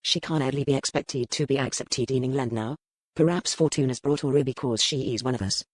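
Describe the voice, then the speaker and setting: A man playing a smooth, probing French merchant with a French accent in a historical romance audiobook. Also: mid-range voice, brisk, no echo, natural voice. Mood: probing, persuasive. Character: suave, probing French merchant in colonial port. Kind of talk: dramatised audiobook dialogue.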